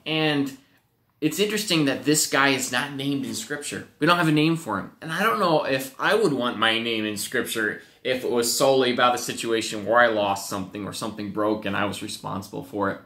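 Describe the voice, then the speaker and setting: Bright-voiced young pastor teaching kids. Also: male voice, medium pitch, a little fast, roomy sound. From Wisconsin, USA. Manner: animated storytelling with playful acted-out voices. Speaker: youth pastor. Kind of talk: children's Bible lesson. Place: home office, indoors. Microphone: webcam at desk.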